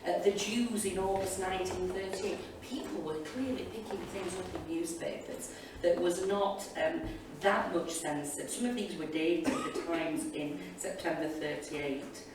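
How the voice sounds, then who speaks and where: A woman's voice: lowish voice, steady tone, a little slow, few pauses. A woman speaks as a panelist in a noisy, heavily reverberant hall, some way from the mic. Her delivery is informal and enthusiastic, wandering from point to point like a teacher thinking aloud. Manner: chatty, enthusiastic, digressive. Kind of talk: informal spoken reflections to an audience. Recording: echoey hall, distant mic, loud room noise.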